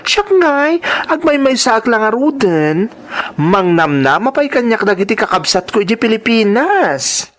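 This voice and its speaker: A woman's voice: a little high, sing-song, a little slow. A woman with a Filipino accent playing a burdened, complaining migrant worker in an audio drama. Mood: weary. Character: burdened, complaining woman working abroad, a friend.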